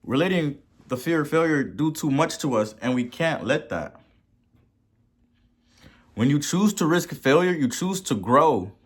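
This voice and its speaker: A man with a Haitian accent hosting a podcast and giving a motivational talk, preachy, repeating words for emphasis and swelling to loud peaks. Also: on the high side, lively intonation, a little slow, husky. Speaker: podcast host.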